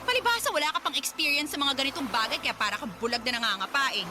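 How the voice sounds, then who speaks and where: A woman's voice: high voice, expressive, quick pace. A woman acting a brazen, snippy young romantic rival in a Filipino radio drama, taunting and dismissive.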